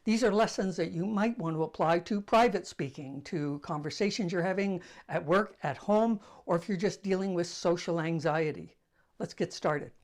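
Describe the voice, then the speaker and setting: An older man with a soft voice, hosting a casual talk to camera, telling stories in a conversational, self-deprecating way. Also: high-pitched, normal speed. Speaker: host.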